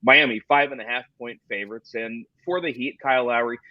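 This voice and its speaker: A man hosting a sports fantasy-betting show with upbeat, energetic delivery. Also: medium pitch, brisk, no room sound. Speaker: podcast host. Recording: dull lo-fi sound.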